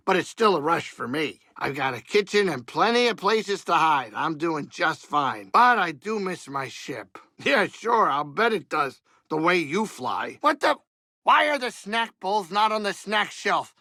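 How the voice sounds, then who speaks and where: A creaky, high-voiced gruff alien pilot. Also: male voice, sharp tone, dry recording, clean studio sound, exaggerated voice. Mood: wry, easygoing, then indignant, blustering. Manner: wisecracking, folksy, old-time wise-guy slang. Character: gruff, excitable, soft-hearted older alien pilot turned cantina cook. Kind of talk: video game character dialogue.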